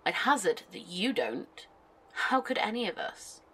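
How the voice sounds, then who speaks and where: An actress playing a devout, dismissive cult member in an audio drama, speaking in formal, cryptic phrases. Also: female voice, medium pitch, big pitch swings, unhurried, a bit bright, slightly rough, full character voice. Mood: challenging.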